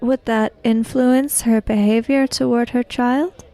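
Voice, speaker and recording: A woman roleplaying a formal, reserved traveller in a samurai fantasy tabletop game, speaking in careful, measured phrases. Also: a little high, steady tone, mellow. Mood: measured, pensive. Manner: formal, measured, avoids contractions. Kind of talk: in-character roleplay dialogue.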